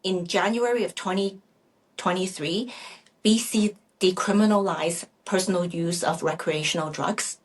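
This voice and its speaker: Husky, low-pitched middle-aged woman. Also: unhurried, rough recording. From Vancouver, Canada. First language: Chinese. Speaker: real estate agent guest. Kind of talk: conversational interview answers.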